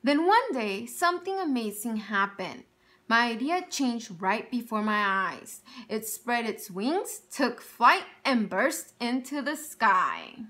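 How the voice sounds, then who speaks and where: A woman's voice: on the high side, takes it slowly. A woman teacher reading a picture book aloud to children, telling it expressively and stopping to ask them questions. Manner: expressive storytelling with questions for young listeners.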